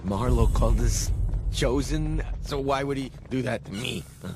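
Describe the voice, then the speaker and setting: A man's voice: mid-range voice, normal speed, close to an everyday voice. An actor playing a frightened, traumatised male captive in a video game, pleading in distressed, faltering fragments. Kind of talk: video game dramatic dialogue. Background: background music.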